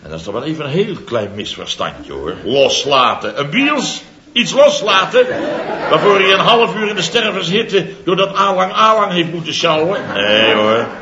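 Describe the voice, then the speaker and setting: A man's voice: a little high, very animated, normal speed, echoey room, crowd noise. An actor playing a blustering contractor in his fifties who tells his mishaps theatrically and chuckles through his lines. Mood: blustering, amused. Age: 50s. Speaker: contractor boss Biels. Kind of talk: 1960s radio comedy serial. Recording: dull old broadcast recording, studio audience laughter. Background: audience laughter.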